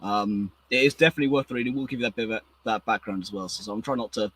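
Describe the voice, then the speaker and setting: A hoarse man hosting a casual podcast chat, talking excitedly and rambling with many restarts. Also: on the low side, rapid-fire. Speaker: podcast host.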